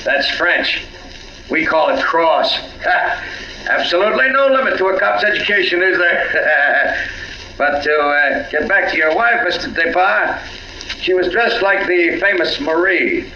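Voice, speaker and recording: A sly police captain in a vintage radio mystery. His voice is bright and on the high side for a man, and he needles with folksy, falsely casual questions. The old recording is echoey and hissy. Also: expressive, unhurried, highs cut off, exaggerated voice. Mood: wry, sly. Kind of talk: 1940s radio mystery acting.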